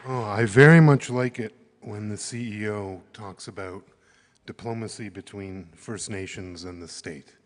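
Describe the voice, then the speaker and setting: A Canadian man giving earnest closing remarks at an arts summit, halting and thoughtful, with many fillers. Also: on the low side. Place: large conference hall. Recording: echoey hall with long reverb.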